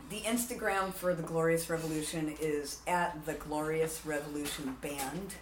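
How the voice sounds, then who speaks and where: A man with a raspy voice, deepened by testosterone, talking candidly and animatedly as a musician guest in a casual interview. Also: moderate pace, echoey room, noisy background. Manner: candid, animated, frequent "like" fillers.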